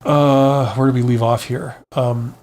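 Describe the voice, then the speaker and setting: A man's voice: medium pitch, fairly even intonation, unhurried, a little mellow. A man hosting a casual live stream, giving frank, conversational advice and often checking in with the listener as he goes. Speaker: live stream host, career advisor and former CEO.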